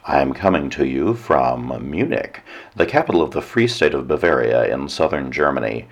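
A hoarse man hosting a weekly radio talk show, giving a measured lecture with dry asides. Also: extremely low pitch, animated. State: hoarse, nearly lost voice. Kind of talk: solo radio talk monologue.

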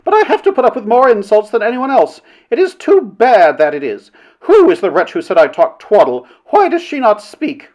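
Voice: mid-range voice, sing-song, a little fast, darkish tone, voice pushed up, lightly acted. A narrator voicing a self-important, quarrelsome woman in a story, declaiming with haughty, melodramatic indignation.

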